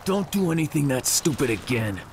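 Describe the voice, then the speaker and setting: An actor playing a blunt, hot-headed soldier in a video game, agitated and confrontational. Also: male voice, a little high, big pitch swings, a little fast, a little hiss or hum. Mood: furious. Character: hot-headed, foul-mouthed male soldier. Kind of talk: video game cutscene acting.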